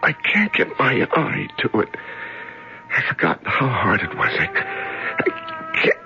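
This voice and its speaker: An actor playing a weak, lonely, starving male prisoner in a halting, theatrical radio drama monologue. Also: medium pitch, huge pitch swings. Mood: straining, feeble. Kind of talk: old-time radio drama acting. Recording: dull radio recording with music behind.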